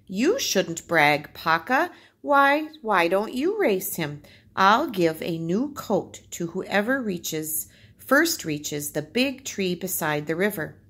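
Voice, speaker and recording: A storybook macaw, voiced by a woman, friendly and fair. The bird gently admonishes and declares, slowly, in a husky voice. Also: medium pitch, big pitch swings, exaggerated voice. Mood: admonishing. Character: fair-minded macaw, friendly judge of a jungle race.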